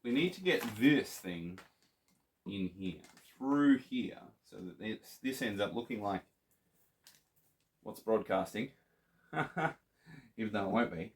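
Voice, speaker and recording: A man's voice: fairly low pitch, lively intonation, slow, long pauses. A playful Australian man chatting to viewers as he builds a theatre prop. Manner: playful, chatty, talks to viewers while working. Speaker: prop maker. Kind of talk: casual workshop tutorial.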